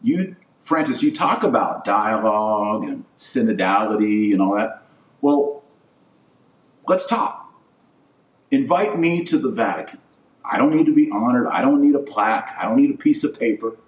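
A middle-aged man with a fairly low voice speaks in a roomy, echoing space, a little away from the mic. He delivers opinion commentary as a podcast host. His phrasing is short and emphatic, repeating words to drive a point home. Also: sing-song, moderate pace. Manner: emphatic, short declarative phrases with repetition for stress. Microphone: webcam setup. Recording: roomy echo, distant microphone.

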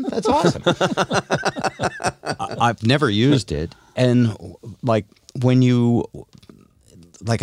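A man's voice: lowish voice, no echo. A middle-aged or older man hosting a casual comedic podcast, telling stories in a teasing, self-deprecating way. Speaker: radio show host.

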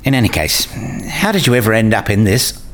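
A man's voice: on the low side, very animated, brisk. An actor playing a curious, wry young male academic with articulate, bantering delivery in a radio play. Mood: friendly, inquisitive. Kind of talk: radio play dialogue and first-person narration.

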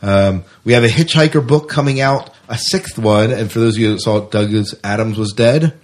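Guy hosting a podcast, kinda low voice, just chatting casually. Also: moderate pace. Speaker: podcast host. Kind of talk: casual interview chat.

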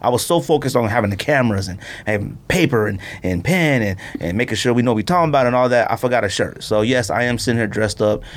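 Slangy, animated male podcast host. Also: medium pitch, quick pace, few pauses. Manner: rambling, slangy, animated storytelling. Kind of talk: casual podcast chat. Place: podcast recording room, in studio.

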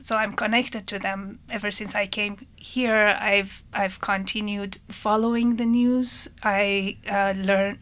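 Woman, grew up in Iran, interview guest, monotone, halting and thoughtful. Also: medium pitch. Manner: thoughtful, halting with fillers and restarts. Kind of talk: radio interview answer.

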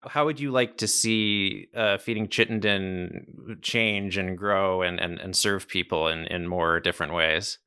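A male podcast host asking interview questions in a clear, measured way and reading the show's outro. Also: sits low, steady tone, pushed and bright.

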